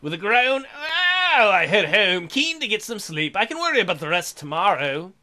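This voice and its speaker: A man's voice: high voice, sing-song, moderate pace, pushed and bright. A man hosting a let's play, reading a visual novel aloud with crude, irreverent joking asides.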